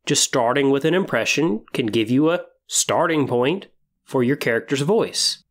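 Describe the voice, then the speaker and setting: A man hosting a podcast monologue, explaining and giving tips in a measured way with emphatic phrasing. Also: medium pitch, animated. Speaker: podcast host.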